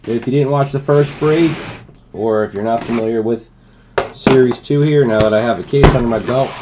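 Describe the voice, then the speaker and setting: A man hosting a trading card break, hoarse from a cold, explaining the set in a relaxed way with many fillers. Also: mid-range voice, normal speed, background noise. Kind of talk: casual card-opening commentary. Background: crinkling wrappers and clicks.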